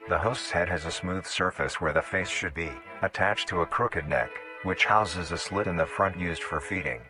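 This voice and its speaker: A man with a very low voice narrates a scripted horror nature documentary. His delivery is measured and instructional, and music plays clearly behind him. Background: background music.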